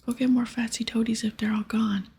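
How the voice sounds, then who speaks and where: A woman voicing a bossy, dim-witted ogre hunter from a fantasy game in a breathy whisper, with blunt, broken grammar. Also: fairly high pitch, little pitch movement, brisk, dark tone, voice pushed up, exaggerated voice.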